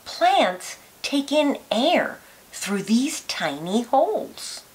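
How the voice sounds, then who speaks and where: A woman with a fairly high voice reads a children's science book aloud. Her delivery is slow and careful, with lots of rise and fall in the melody so young listeners can follow each phrase. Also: very animated.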